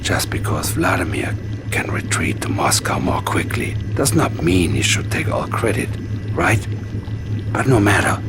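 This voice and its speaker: A very deep, gravelly villain, a calculating Soviet mastermind. He talks slowly and insinuatingly with quiet menace, like a game cutscene schemer, over prominent music. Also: expressive. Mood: sinister, hushed. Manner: slow, hushed, insinuating. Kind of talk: video game cutscene acting.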